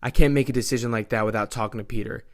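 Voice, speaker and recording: A gentle, sensible wife, read by a male narrator, speaking earnestly. The delivery is measured and loving, from a retold comic book story. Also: mid-range voice, fairly even intonation, close to an everyday voice. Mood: firm.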